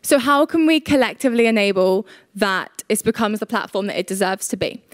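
A young woman presenting a prepared conference talk on stage, steady and polished. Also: mid-range voice, fairly quick, no room sound, clean studio sound. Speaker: conference speaker.

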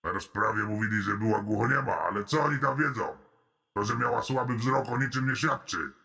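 A sleazy cartoon cellmate with a gravelly, husky rasp and a bright, sharp edge. He talks in a deadpan, mock-friendly way that makes every line sound like a threat. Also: fairly low pitch, brisk, heavily acted. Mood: menacing. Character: sleazy menacing male prison cellmate, creepy. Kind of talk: animated comedy voice acting.

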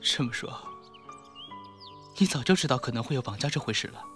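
A man acting a heartbroken, weary, gentle young man in a Mandarin audio drama, restrained and wistful. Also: mid-range voice, expressive, a little fast, lightly acted. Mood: pained questioning. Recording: music behind the voice.

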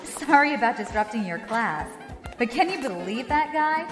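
A woman's voice: on the high side, expressive, moderate pace, close to an everyday voice. A woman playing a blunt, sarcastic young student activist in an audio drama, talking casually. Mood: sardonic. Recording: echoey hall reverb, music behind.

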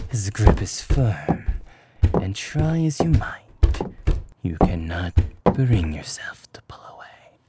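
A man narrating a scripted ASMR roleplay in a breathy whisper. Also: low-pitched, dark tone. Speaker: voice actor, ASMR creator.

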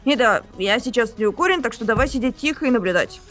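A woman voicing a deadpan young author. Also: on the high side, fast, soft background music. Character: lazy young male author character, deadpan.

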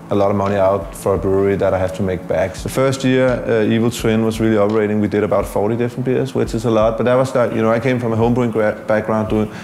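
A man, giving loose, relaxed interview answers full of fillers. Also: lowish voice, roomy sound, slight background noise. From Denmark.

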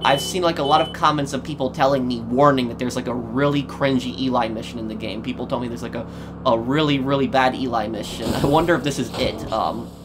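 Male gamer, medium pitch, casual commentary, halting delivery, faint background game ambience. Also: noisy background. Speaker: gaming video host.

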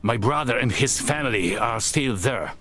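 A man playing a plain-spoken, quietly resolute villager in a video game. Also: medium pitch, animated, moderate pace. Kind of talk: cinematic video game acting.

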